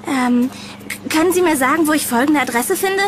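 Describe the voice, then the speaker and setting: A woman's voice: high-pitched, a little fast, a little hiss or hum, close to an everyday voice. A woman playing a worried, insistent young woman in a horror audio drama, earnest and anxious. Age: young adult.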